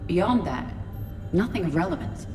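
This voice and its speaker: An actress playing a calm female ship AI who speaks in a precise, measured, literal way. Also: fairly low pitch, long reverb tail, music in the background. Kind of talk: video game dialogue.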